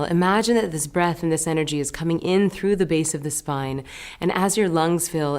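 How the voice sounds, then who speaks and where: A woman leading a guided meditation as a teacher, giving gentle, unhurried instructions with pauses between phrases. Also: deep voice.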